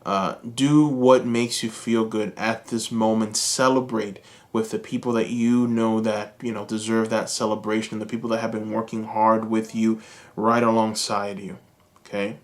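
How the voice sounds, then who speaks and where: A Cuban American man giving a calm, reassuring tarot reading, speaking slowly and soothingly to his viewers. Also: fairly low pitch. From United States. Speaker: tarot reader. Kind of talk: pick-a-card tarot reading.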